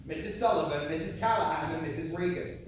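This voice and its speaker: An actor playing an authoritative officer on an emigrant ship in a stage play, formal and commanding. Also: male voice, a little high, quick pace, over music, lightly acted. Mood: formal, firm. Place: theatre stage, picked up from afar. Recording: echoey hall, distant mic, faint noise.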